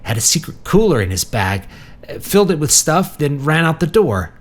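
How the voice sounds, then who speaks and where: Confused old man played by a male narrator, giggly and self-amused, rambling storybook character with highly animated, swooping pitch. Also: normal speed, slightly rough.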